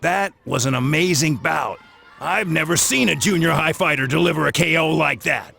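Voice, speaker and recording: An actor playing a slick, pushy school sports recruiter with an informal, persuasive manner in an anime video game. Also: male voice, on the high side, moderate pace, creaky, sharp tone, slightly rough, full character voice. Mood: eager, pushy.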